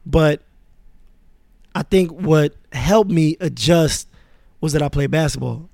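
A man born in France and raised in Queens, speaking as a guest in a relaxed, casual interview. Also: a little high, slow, creaky, no room sound, clean studio sound. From born in France, raised in Queens, New York. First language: Arabic. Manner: relaxed, laid-back, with many fillers. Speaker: guest, music manager.

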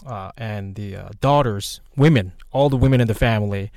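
Korean-accented man, native Korean speaker, podcast co-host, halting and soft-spoken, low gravelly voice. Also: dry recording, studio quality. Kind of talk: casual personal storytelling.

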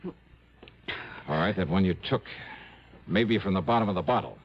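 A man's voice: sits low, animated, normal speed. An actor playing a shrewd, confident male investigator in a 1960s radio drama, with a wry, punchy delivery. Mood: coaxing, triumphant. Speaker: lead actor and narrator. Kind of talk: 1960s radio detective acting. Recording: muffled old radio broadcast recording.

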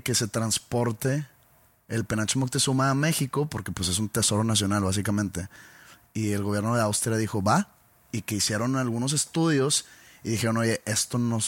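A man's voice: medium pitch, no echo. A man with a Mexican accent co-hosting a casual podcast, telling rambling stories and joking. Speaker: podcast co-host. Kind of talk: casual chat.

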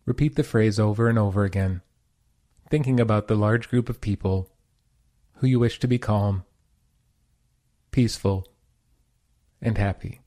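A man leading a guided meditation as host, giving slow, calm, soothing instruction in short phrases. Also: fairly low pitch.

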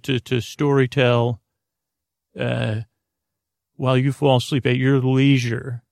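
A man hosting a podcast in a rambling bedtime monologue, halting and often interrupting himself as he meanders. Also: mid-range voice, vocal fry, darkish tone, no echo, clean studio sound. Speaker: podcast host.

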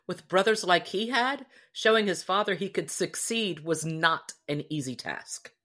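Female co-host, slightly low register, earnest and expressive, casual story discussion. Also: a little slow. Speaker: podcast co-host.

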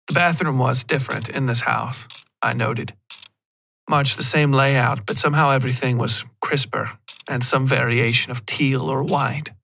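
A man reading a scripted horror story as a podcast narrator, in a measured, literary delivery with deliberate pauses. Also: medium pitch, gravelly, bright, forceful tone.

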